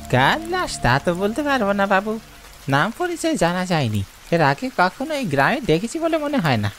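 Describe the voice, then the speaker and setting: A man's voice: high-pitched, huge pitch swings, moderate pace, fairly bright tone, faint music behind, heavily acted. A man voicing a frightened, superstitious village boatman for a horror cartoon, with a pleading, exclaiming manner. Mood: wary. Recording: rain noise behind the voice. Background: falling rain.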